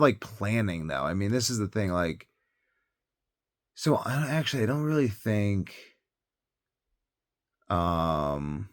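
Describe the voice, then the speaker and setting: A man's voice: low-pitched, no room sound, studio quality. A tired man talking in a casual, rambling monologue as a health vlogger, hesitant and thinking aloud, often trailing off.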